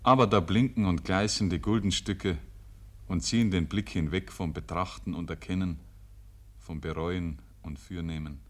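A man's voice: sits low, moderate pace. A man with a Bavarian accent narrating a rural tale in a literary radio play. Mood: measured, grave. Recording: 1950s radio recording.

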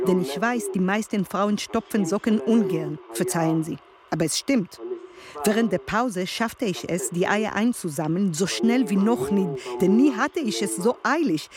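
A woman's voice: mid-range voice, natural voice. An actress playing an earnest, hardworking woman chicken farmer, confiding and insistent, in a radio play monologue.

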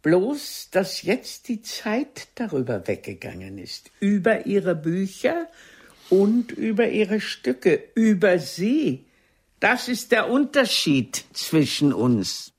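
A proud, ageing theatre diva in a radio drama. Her husky voice swings widely in melody, theatrical and cutting, as she reproaches a former lover with formal, wounded dignity. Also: medium pitch, big pitch swings, takes it slowly, exaggerated voice. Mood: scornful. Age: older. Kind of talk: German radio play dialogue.

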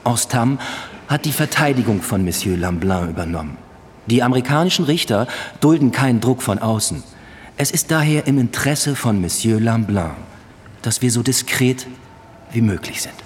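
An actor playing a guarded, evasive company executive with a formal, measured manner in a radio drama. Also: male voice, mid-range voice, expressive, big-room sound, a little hiss or hum, close to an everyday voice. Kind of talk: radio drama acting with murmured inner monologue.

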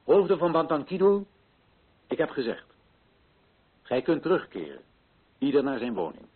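A muffled, lo-fi old radio drama recording of an earnest colonial official. His voice is husky and swings widely in pitch as he declaims in formal, righteous, solemn phrases. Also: sing-song, moderate pace. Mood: formal, exhorting. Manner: declamatory, formal, rhetorical questioning. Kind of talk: theatrical radio drama oratory.

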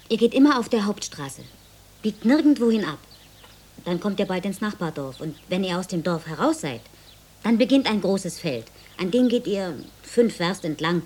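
An actress playing a worried, devout village mother who gives careful instructions in a radio drama, often in a hushed voice. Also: mid-range voice. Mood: patient, instructing. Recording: studio radio drama with clear background ambience.

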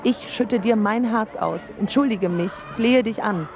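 Actress playing wounded young woman in love, radio drama, medium pitch, dulled sound, faint guitar music behind. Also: measured pace, muffled recording, lightly acted. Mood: angry, reproachful. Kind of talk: radio drama acting, first-person narration.

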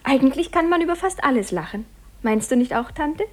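An actress playing a high-spirited young girl, bubbly, polite and quick to laugh, in a German radio play. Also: lively intonation. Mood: cheerful, playful.

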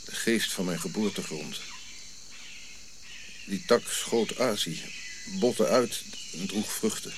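An actor playing a dignified, weary old African prince in exile in a radio play, measured and solemn. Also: male voice, deep voice, noisy background. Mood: solemn storytelling. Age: older.

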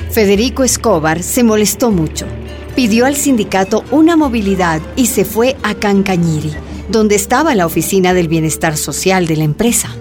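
Una mujer con acento boliviano narra con gravedad una radionovela sobre la lucha minera. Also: female voice, mid-range voice, expressive, normal speed, darkish tone, faint music behind, close to an everyday voice. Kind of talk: scripted narration of a radio drama.

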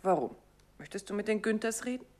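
A woman's voice: mid-range voice, expressive, fast talker, a little mellow, natural voice. A woman playing the detective's playful wife. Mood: sleepy, curious. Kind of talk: 1950s radio drama acting.

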